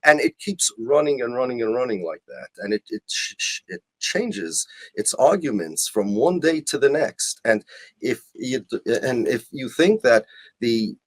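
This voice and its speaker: Animated man with a husky voice. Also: medium pitch, measured pace. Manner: emphatic, rhetorical questions and repetition for stress. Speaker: rabbi, interview guest. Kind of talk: impassioned argumentative interview talk.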